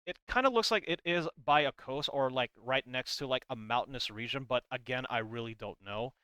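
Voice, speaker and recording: A man with a bright, crisp voice talks through game lore in a casual explainer style. His delivery rambles and hedges as he works through his commentary. The sound is dry, with hardly any room on it. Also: mid-range voice, a little fast, dry recording. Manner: rambling, hedging, reads comic text aloud between comments.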